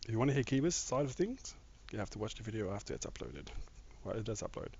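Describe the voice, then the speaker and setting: A man's voice: lowish voice, sing-song, fast talker, a little mellow, husky. A man with a somewhat mixed Australian accent, chatting casually with viewers while he plays a game on a live stream. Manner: rambling, chats with viewers while building. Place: home room. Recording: dull lo-fi mic with faint noise.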